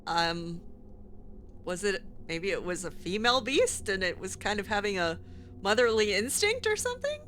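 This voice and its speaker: A woman's voice: medium pitch, very animated, moderate pace, fairly bright tone, slight background noise. A woman speaking in character in an improvised roleplay conversation, reacting hesitantly and letting phrases trail off. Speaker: player voicing her character in a roleplay playthrough.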